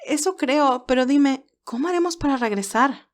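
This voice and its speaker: A woman plays a bashful young woman in an audio story. Her voice is high and questioning. She sounds doubtful and a little hesitant. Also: animated, moderate pace.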